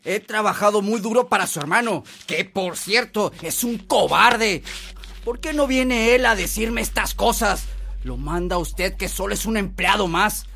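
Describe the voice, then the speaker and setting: An actor playing a proud, indignant farm worker in a radio drama, with a defiant, taunting manner. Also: male voice, high-pitched, a little slow, creaky, sharp tone, heavily acted. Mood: defiant, angry. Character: proud, indignant farm worker being fired, hot-tempered.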